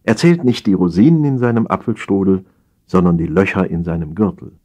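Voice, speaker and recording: Male audiobook narrator for comic prose: low, slightly rough voice, theatrical delivery. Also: fairly quick, warm tone. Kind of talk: scripted humorous story narration.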